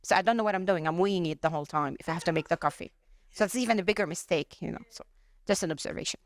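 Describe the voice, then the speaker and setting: A woman's voice: lowish voice, very fast, no room sound. A woman from Lebanon in the audience, sharing a chatty observation and listing examples with light humor. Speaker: workshop participant. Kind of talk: audience comment at a workshop.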